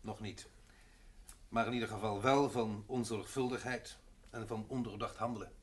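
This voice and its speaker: An actor playing a cold, formal president in an old radio play, speaking sternly and officially. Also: male voice, lowish voice, fairly even intonation, normal speed, natural voice. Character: cold, formal head of state passing judgment. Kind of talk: 1960s radio play acting.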